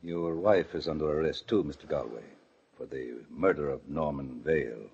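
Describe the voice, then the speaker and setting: An actor playing a stern policeman in an old radio drama, gravelly and husky, speaking in a quiet, measured way. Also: a bass voice, exaggerated voice. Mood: stern, solemn. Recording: dull lo-fi old radio recording.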